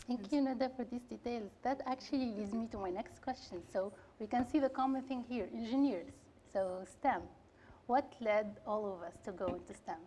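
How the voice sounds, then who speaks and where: A young woman moderates a panel in a friendly, slightly hesitant way. Her voice is fairly high yet mellow and warm, with a faint room hum behind it. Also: normal speed. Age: young adult. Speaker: panel moderator, PhD student.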